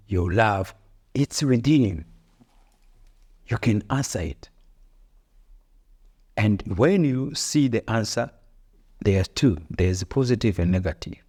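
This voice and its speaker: A man's voice: fairly low pitch, darkish tone. A middle-aged man with a Rwandan accent, co-hosting a podcast and giving earnest, preachy advice in broken English, repeating his key phrases. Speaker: podcast co-host, father. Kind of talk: casual motivational chat.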